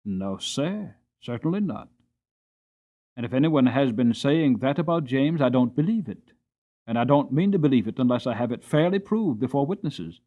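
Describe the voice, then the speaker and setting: A man's voice: mid-range voice, lively intonation, moderate pace, phone-quality sound, lightly acted. A man voicing a loyal, plain-spoken Victorian coachman in an audiobook, earnest, emphatic and respectful. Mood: staunch, indignant. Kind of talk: dramatic audiobook character reading.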